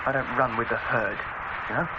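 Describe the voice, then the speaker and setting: An actor playing an agitated, boastful patient who dreads the dentist in a radio drama, stammering and insistent. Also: male voice, medium pitch, steady tone, fairly quick, lightly acted. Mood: nervous, defensive. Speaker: emergency dental patient. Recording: dull, noisy old radio recording.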